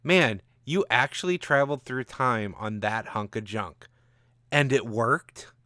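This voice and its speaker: A man's voice: medium pitch, measured pace, pushed and bright, no echo, clean studio sound. A man voicing a bitter, angry gunman from the future with a mocking, ranting manner in a story. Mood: manic, amused.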